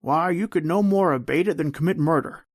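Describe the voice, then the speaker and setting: A man's voice: on the high side, fairly quick, no echo, clean studio sound, voice pushed up, natural voice. A man playing an older, kindly, fatherly doctor in a radio drama, speaking in a folksy, hesitant, encouraging way. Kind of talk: old-time radio drama acting.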